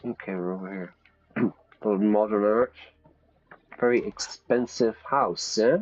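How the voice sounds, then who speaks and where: A man's voice: fairly low pitch, measured pace, dull audio. A man giving casual, playful commentary to viewers as he plays a game, thinking aloud. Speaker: live streamer and English teacher.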